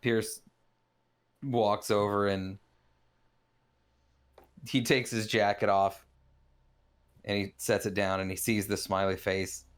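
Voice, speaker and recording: A man's voice: lowish voice, level tone, slow, pauses often, clean tone. A man playing a tabletop game, narrating his character's actions casually and loosely. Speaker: player.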